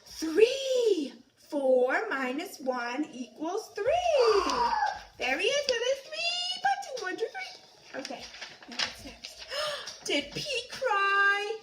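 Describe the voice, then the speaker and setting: A woman's voice: very high voice, very animated, slow. A woman reading a picture book aloud to her young child in a sing-song, coaxing way, asking questions as she goes. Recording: roomy, noisy home recording.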